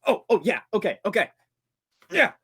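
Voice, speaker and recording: Man playing animated, wisecracking radio actor Fritz. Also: high voice, normal speed, slightly rough, full character voice. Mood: excited, menacing. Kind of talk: old-time 1940s radio play acting.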